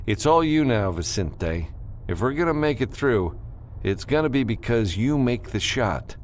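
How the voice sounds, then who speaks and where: A man voicing a gruff, steady spaceship pilot with a terse, wry manner in an audiobook. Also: medium pitch, measured pace, slight background noise, voice pushed up, close to an everyday voice. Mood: urgent encouraging. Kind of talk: audiobook character dialogue.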